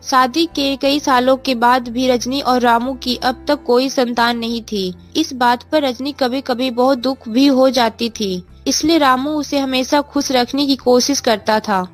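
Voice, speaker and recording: A woman's voice: high voice, steady tone, measured pace, few pauses, faint music behind. A woman narrator reading a scripted bedtime story for children.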